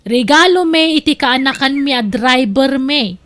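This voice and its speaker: Very high, gossipy cousin played by a woman. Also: unhurried, a bit bright. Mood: curious, teasing. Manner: inquisitive, gossipy. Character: nosy, chatty, teasing cousin. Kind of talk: Ilocano audio drama acting.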